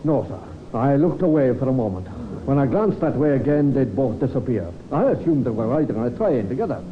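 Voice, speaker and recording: A man's voice: medium pitch, expressive, a little fast, close to an everyday voice. Actor as plain everyman, echoey old radio. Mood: earnest. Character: plain, cooperative everyman in a crime story. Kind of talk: old time radio drama acting. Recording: roomy, muffled, noisy old radio recording.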